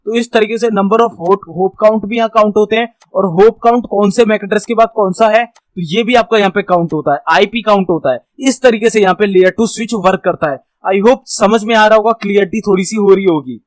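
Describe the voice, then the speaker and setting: A man with an Indian accent and a notably high voice teaches in an informal tutorial style. He explains step by step, mixes in English technical terms and keeps checking that the listener follows. The recording is dry and close, with very little room sound. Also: fairly quick, few pauses, dry recording. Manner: explanatory, repeatedly checking understanding with tag phrases. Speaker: instructor, tech tutorial host.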